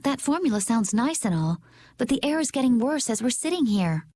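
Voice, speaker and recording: A woman playing a calm, rational young adventurer with a measured delivery in a video game. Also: on the high side.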